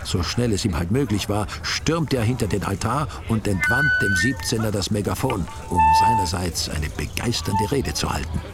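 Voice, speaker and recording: An actor playing a wry Austrian storyteller, giving ironic scripted narration in a radio drama. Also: male voice, on the low side, lively intonation, normal speed, hardly any pauses, over loud music. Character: wry male storyteller of an Austrian village crime tale.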